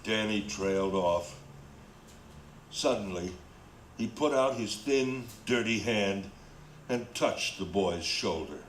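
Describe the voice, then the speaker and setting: A man's voice: on the low side, slow, long pauses. A man reading scripted narration for a radio play. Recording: roomy, faint background noise.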